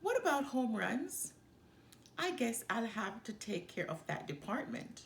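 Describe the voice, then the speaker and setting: A woman voicing an eager, sporty young boy bear cub from a children's storybook, in an expressive manner. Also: on the high side, measured pace, creaky, full character voice. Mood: boastful. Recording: echoey room with faint background noise.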